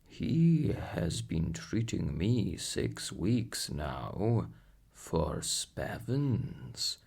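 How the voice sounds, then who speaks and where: A man's voice: low-pitched, sing-song, creaky, a little mellow, slightly rough, heavily acted. Man as a ponderous, grumbling plough horse. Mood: aggrieved, plaintive. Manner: ponderous, drawn-out, plaintive grumbling. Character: slow, aggrieved plough horse with failing sight. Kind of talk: storybook character voice.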